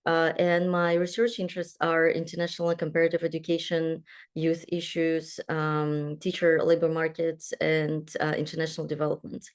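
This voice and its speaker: A woman's voice: sits low, monotone, moderate pace. A young adult woman from Kazakhstan, a doctoral student, moderating an online panel and introducing speakers, with many fillers and restarts. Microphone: video call.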